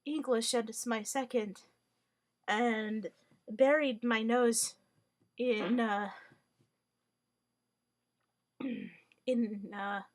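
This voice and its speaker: Wry, hesitant woman, slow halting delivery. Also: fairly high pitch, fairly even intonation. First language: Hungarian. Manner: hesitant, many fillers and restarts; wry, mock-formal wording. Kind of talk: rambling monologue.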